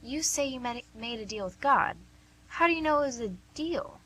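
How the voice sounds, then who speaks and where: An actress with an American accent playing a young, inquisitive woman who gently probes in a conversational way, in a radio play. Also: high-pitched, expressive, moderate pace, slightly rough, exaggerated voice, low-quality recording. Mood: gently challenging. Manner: questioning, conversational. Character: young, inquisitive, gently probing female listener.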